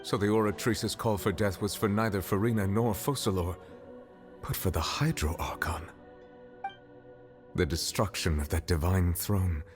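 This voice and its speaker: A man acting as a courtly chief judge in a fantasy video game. His voice is low and grave, with formal, measured phrasing and a reserved compassion. Faint music sits behind him. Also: close to an everyday voice. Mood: somber, realizing.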